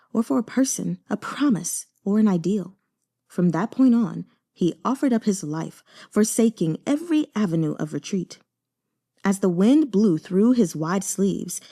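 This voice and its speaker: A woman's voice: medium pitch, warm tone. A woman reading a novel aloud as dramatic narration. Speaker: audiobook reader.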